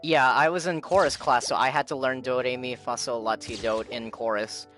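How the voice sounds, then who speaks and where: A man's voice: medium pitch, steady tone, moderate pace, fairly bright tone. A man livestreaming a game with casual, playful, chatty reaction commentary. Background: game music.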